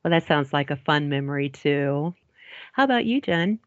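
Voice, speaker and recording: Co-host: woman with a very low voice, brief and affirming, dry lo-fi sound. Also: lively intonation, moderate pace, no echo. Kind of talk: interview hosting. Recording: dry dull lo-fi audio.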